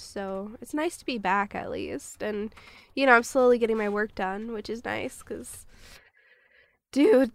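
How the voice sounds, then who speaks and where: Female podcast co-host, high creaky voice, stuffed-up with a cold, casual chat, close dry sound. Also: no room sound. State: congested, sniffly from a cold.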